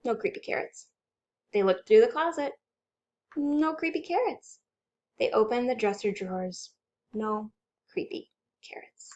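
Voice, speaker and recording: A woman's voice: a little high, a little slow, pauses often, a little mellow. A woman working as a children's librarian, reading aloud expressively with dramatic, suspenseful delivery. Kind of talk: animated children's story read-aloud.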